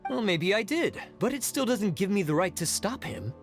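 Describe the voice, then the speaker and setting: A man's voice: high voice, very animated, moderate pace, soft background music. A man playing a shopkeeper in a video game, defensive at first, then eager to explain.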